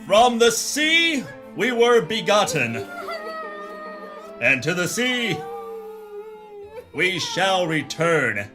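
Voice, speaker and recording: An actor playing a pompous, hard-boiled hero detective in a melodramatic parody pulp audio drama. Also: male voice, high-pitched, sing-song, extremely slow, pauses often, over music, exaggerated voice. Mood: ceremonial. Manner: bombastic, melodramatic.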